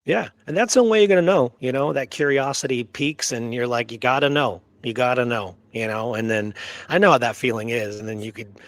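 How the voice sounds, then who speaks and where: A man's voice: mid-range voice, fairly quick, no echo. Male history host, informal and off the cuff, loose rambling delivery, very little room sound. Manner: informal, rambling, checks in with "right?". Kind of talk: casual unscripted history discussion.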